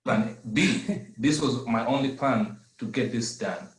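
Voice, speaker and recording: A man's voice: medium pitch, unhurried, reverberant, poor audio. A middle-aged man from Zambia with a Zambian accent, giving an earnest personal testimony over a video call, with hesitant fillers. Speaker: adult education graduate giving testimony.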